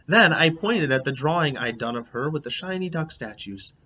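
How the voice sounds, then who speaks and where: A man reading a children's book aloud in an animated, expressive way, as a teacher would. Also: on the high side, moderate pace. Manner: expressive, acts out dialogue. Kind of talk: animated storybook read-aloud. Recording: dull lo-fi, clear background noise.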